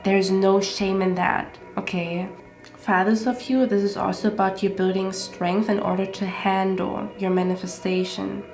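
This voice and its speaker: Woman tarot reader with a stuffed-up nose, repetitive and reassuring, frequent "okay?" check-ins, echoey room with soft music. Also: medium pitch, level tone, normal speed. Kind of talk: casual tarot reading monologue. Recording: roomy echo with faint music.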